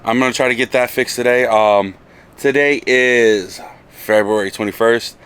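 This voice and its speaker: A man's voice: medium pitch, normal speed, a bit bright, clear, resonant tone. A man with a nasal voice from a cold, chatting casually about working on his car in a home garage. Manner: casual, mutters while working, swears. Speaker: car project vlogger.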